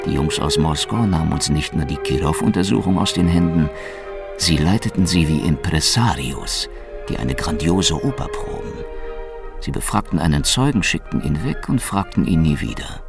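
An actor playing a cynical, world-weary Soviet secret police officer in a German radio drama, sardonic and gravelly. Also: male voice, a bass voice, lively intonation, normal speed, heavily acted. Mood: wry, brooding. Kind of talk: German radio drama, first-person noir narration and dialogue. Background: music.

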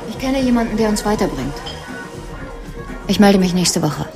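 A woman's voice: mid-range voice, expressive, moderate pace, music in the background, lightly acted. An actress playing a resolute, sardonic woman in a radio crime drama, speaking in a matter-of-fact, pointed way. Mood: cool, defiant. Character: sardonic, resolute woman, lawyer's former lover.

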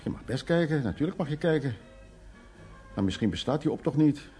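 A man's voice: a little high, expressive, fairly quick, dark tone, faint music behind, close to an everyday voice. An actor playing a troubled, wry and anxious man in a radio drama, musing aloud in hesitant, broken phrases. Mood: uneasy, agitated. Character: troubled man named Louis, recounting past, anxious, wry. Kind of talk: radio drama acting, intimate storytelling.

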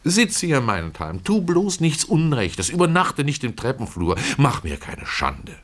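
A man's voice: mid-range voice, wildly swooping pitch, unhurried, fairly bright tone, full character voice. An actor playing a humble, pious Russian tailor who confides his regrets in a folksy, ruminative radio-play storytelling manner. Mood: reproachful. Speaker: narrator of a literary radio play. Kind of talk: dramatic first-person storytelling.

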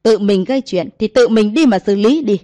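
A woman voicing a domineering old grandmother who shouts and scolds scornfully. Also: medium pitch, normal speed, no room sound, clean studio sound, close to an everyday voice. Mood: scolding.

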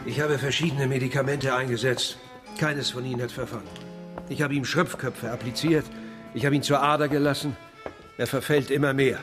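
An actor playing a sober, resigned hospital doctor of old Paris, formal and courteous in a German radio crime drama. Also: male voice, mid-range voice, fairly even intonation, brisk, music in the background, lightly acted. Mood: grave.

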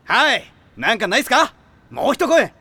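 甲高くがなる威勢のいい男子高校生. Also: male voice, extremely high pitch, moderate pace, full character voice. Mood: brisk, chairing. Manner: excitable, theatrical, rough youthful slang. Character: brash, loud high-school boy chairing a club meeting. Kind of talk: anime audio drama acting.

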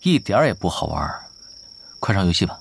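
A man playing an easygoing, teasing pro gamer and team leader in an audio drama, talking unhurriedly with quiet confidence. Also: sits low, very animated, fast, creaky, a little hiss or hum, full character voice. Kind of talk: Chinese audio drama acting.

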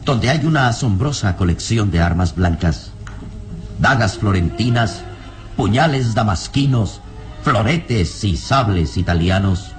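Deep-voiced man, dramatic serial narrator. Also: expressive, unhurried. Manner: dramatic, formal. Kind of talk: scripted radio drama narration. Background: music.

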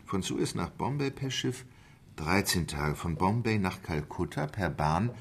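A low male voice with lively inflection, an unflappable English gentleman in a radio drama. He speaks formally and precisely, measured and matter-of-fact. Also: a little hiss or hum. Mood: composed. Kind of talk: German radio play acting.

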